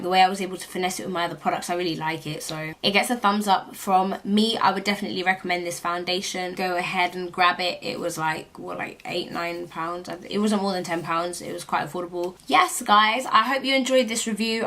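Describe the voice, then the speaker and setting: A young woman with a British accent talks straight to camera about a product she has tried. Her voice sits low and a little deep, and she sounds thoroughly worn out after a long day. She rambles casually, peppering her sentences with "like". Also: fairly bright tone. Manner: chatty, rambling, many "like" fillers. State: exhausted late at night. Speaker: beauty vlogger.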